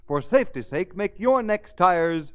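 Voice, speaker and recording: A man's voice: high voice, lively intonation, a little slow, clear, resonant tone. A man announcing on 1930s radio with an emphatic, formal scripted delivery. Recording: muffled old 1930s radio recording, music behind.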